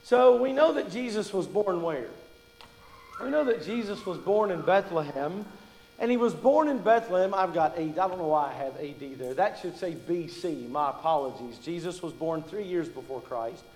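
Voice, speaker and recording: A high-voiced man from Kentucky preaches, mixing folksy jokes into his teaching. His voice rings out with a long reverb, as in a big church sanctuary. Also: animated, normal speed, darkish tone, soft background music. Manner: folksy, joking storyteller. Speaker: pastor. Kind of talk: sermon.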